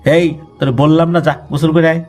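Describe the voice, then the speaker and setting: A man's voice: on the high side, level tone, fairly quick, darkish tone, faint music behind, lightly acted. A man with a Bangladeshi accent voicing a scolding, indignant village mother, nagging colloquially in a told horror story.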